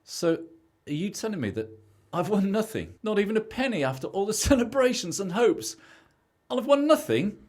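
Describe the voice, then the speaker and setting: A man's voice: high voice, big pitch swings, normal speed, darkish tone. A man acting in an amateur radio play as a desperate, self-pitying man, pleading dramatically. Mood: despairing. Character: Gareth, desperate, self-pitying man, broke after a false lottery win.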